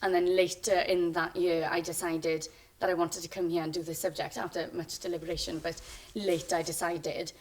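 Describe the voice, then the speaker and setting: A woman's voice: fairly low pitch, flat delivery, moderate pace, hardly any pauses, far from the mic, a little hiss or hum. A young woman with a Welsh accent, a native Welsh speaker, chatting casually as a student guest and tending to ramble. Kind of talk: casual interview answers.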